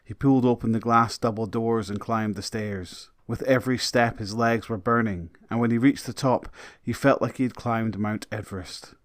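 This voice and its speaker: A man's voice: mid-range voice, fairly even intonation, normal speed, few pauses, no echo, clean studio sound. A man reading scripted, dramatic story narration.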